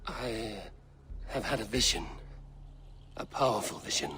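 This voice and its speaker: An actor playing a guarded, blunt Viking raider, speaking firmly and tersely in a game cutscene. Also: male voice, on the low side, lively intonation, measured pace, sharp tone, a little hiss or hum. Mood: troubled. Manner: firm, terse, plain-spoken. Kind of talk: video game cutscene acting.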